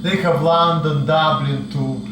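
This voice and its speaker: A man's voice: fairly high pitch, steady tone, a little slow. A young man with a strong Russian accent, chatting casually and profanely with many fillers in a home kitchen. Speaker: video host. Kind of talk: casual chat. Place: apartment kitchen. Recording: echoey kitchen, far mic, noisy.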